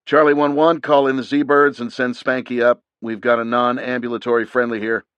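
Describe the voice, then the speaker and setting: Male narrator doing a raised, fast, clipped navy officer, dry close mic. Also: medium pitch, little pitch movement, clear, resonant tone, no echo, dull audio, pitched above the performer's own voice, natural voice. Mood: commanding. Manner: loud, brisk and clipped. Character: commanding young male navy commando officer.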